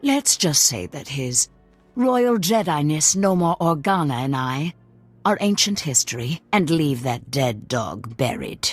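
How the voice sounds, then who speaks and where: Husky, sardonic older noblewoman. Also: sits low, sing-song, measured pace, soft background music, exaggerated voice. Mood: dismissive. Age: middle-aged. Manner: sardonic, world-weary. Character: proud, sardonic middle-aged noblewoman and assassin. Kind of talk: video game voice acting.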